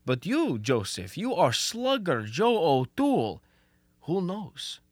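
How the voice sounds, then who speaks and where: A man's voice: fairly high pitch, wildly swooping pitch, slow, dry recording, exaggerated voice. A young man acting in a radio comedy as a confident, scheming who coaxes in wordy, stilted foreign phrasing. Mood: self-satisfied, soothing. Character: scheming, confident Russian male defector posing as an American. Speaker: student actor.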